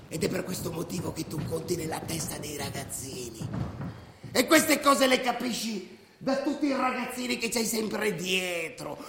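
An actor playing a fervent, proud football ultra in a radio drama, speaking emphatically and colloquially with bursts of shouting. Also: male voice, high voice, gravelly, noisy background, heavily acted. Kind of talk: theatrical radio drama monologue. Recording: echoey reverberant room over loud crowd.

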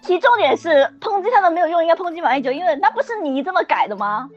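Female banterer, piercingly high, excitable, on a dull lo-fi recording. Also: very fast. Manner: loud, excitable, interjecting. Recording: dull lo-fi sound, faint music.

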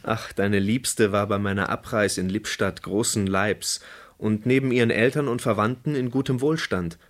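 An actor playing a loyal soldier envoy disguised as a merchant, speaking formal archaic German in a radio drama. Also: male voice, lowish voice, moderate pace, lightly acted. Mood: earnest, concerned.

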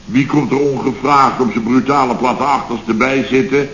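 An actor playing a blustering, aggrieved middle-aged boss of a building firm in a sarcastic, grumbling radio comedy style. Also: male voice, mid-range voice, fairly even intonation, normal speed, fairly bright tone, lightly acted. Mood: indignant sarcasm. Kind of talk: Dutch radio comedy acting, circa 1970. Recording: dull, noisy, roomy old radio recording.

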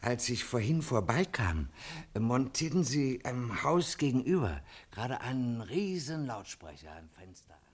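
A man's voice: medium pitch, very animated, normal speed, breathy edge. An actor playing a sympathetic townsman chatting over drinks in a radio drama, earnest and colloquial. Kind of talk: German radio drama acting.

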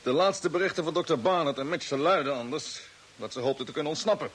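An actor playing a determined expedition commander in an old radio drama, with a commanding, earnest manner. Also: male voice, fairly high pitch, fast, fairly bright tone, slightly rough. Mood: firm, sober. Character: determined male space expedition commander on a Mars mission. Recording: old radio recording with faint hiss.

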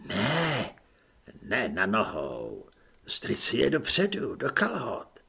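A man voicing a hoarse, patient stranger who speaks from the darkness, partly in whispers. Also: mid-range voice, huge pitch swings, sharp tone, pitched below the performer's own voice, exaggerated voice. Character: hoarse mysterious man hiding in the dark.

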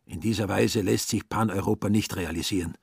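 A man plays a young German swindler in a radio play, cynical and full of self-justification. The voice is husky and pushed higher, in a very dry recording. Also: steady tone, brisk, no echo. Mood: indignant musing.

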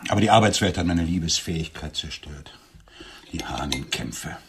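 A man's voice: a bass voice, lively intonation, moderate pace, creaky, noisy background, heavily acted. An actor playing a lonely, grandiloquent middle-aged businessman wooing on a blind date in a radio drama, with florid, theatrical phrasing. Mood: melancholy, self-pitying.

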